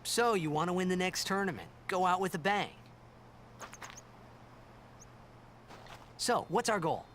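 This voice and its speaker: Brash, boyish teenage sports star. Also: male voice, sits high, lively intonation, fairly quick, a bit bright, slight background noise. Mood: teasing. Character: cocky, eager teenage male sports star. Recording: game audio with music over it.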